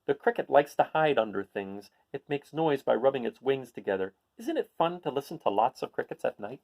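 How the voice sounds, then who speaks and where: A man's voice: medium pitch, big pitch swings, fairly quick, gravelly, a little mellow. A man hosting a read-aloud, reading a children's picture book and adding casual, explanatory asides for young listeners.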